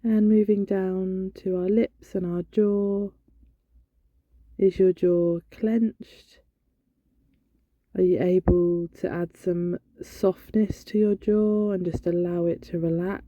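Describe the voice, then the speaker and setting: A woman leading a guided body scan meditation in a gentle, soothing, instructional manner. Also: mid-range voice, fairly even intonation, slow pace. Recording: dull, lo-fi, roomy echo.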